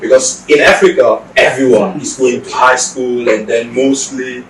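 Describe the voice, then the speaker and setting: A young man from Cameroon, an exchange student doing his master's, answering interview questions in a measured, explanatory way. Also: medium pitch, steady tone, fairly bright tone. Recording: roomy echo, distant mic, faint noise.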